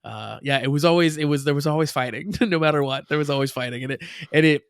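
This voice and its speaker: A man's voice: fairly high pitch, fast, gravelly, clean tone, no echo, studio quality. A young man co-hosting a podcast, chatting in a rambling way with many restarts and frequent laughs. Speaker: podcast co-host. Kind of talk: casual chat.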